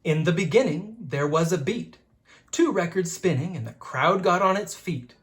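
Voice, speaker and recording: A teacher reading a rhyming children's book aloud in a rhythmic, expressive way. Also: medium pitch, normal speed.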